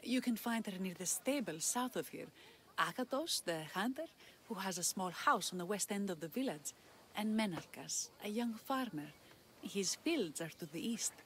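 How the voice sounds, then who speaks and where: A woman's voice: medium pitch, expressive, moderate pace, natural voice. A woman playing solemn priestess over ambient noise. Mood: deferential. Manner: formal, reverent, grandiose. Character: solemn, devout, flattering village priestess. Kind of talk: video game cutscene acting.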